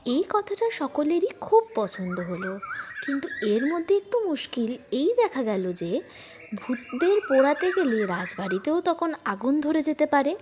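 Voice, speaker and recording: A woman narrating a scripted story as host, in an expressive storytelling style. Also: high-pitched, measured pace, background noise. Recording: music under the voice. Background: background music.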